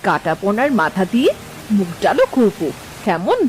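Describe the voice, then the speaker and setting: A woman's voice: medium pitch, sing-song, normal speed, natural voice. A woman playing a caring, insistent middle-aged village mother-in-law in a Bengali cartoon, homely and coaxing, over music. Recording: background music under the voice.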